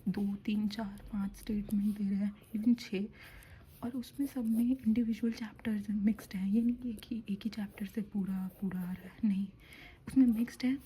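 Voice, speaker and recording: Young woman, hushed whisper, sore throat, flat even delivery, faint room hum. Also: mid-range voice, poor audio. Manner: hushed whispering, mixing Hindi and English. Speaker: student preparing for a medical entrance exam. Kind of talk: whispered study vlog talk.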